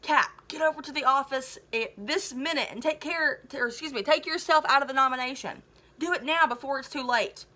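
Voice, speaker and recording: A picked-on, nerdy middle-school boy from a storybook as a woman reads him aloud. The voice is high and sharply bright. He comes across as wry and resigned, with a dramatic streak. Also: a little fast. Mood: urgent.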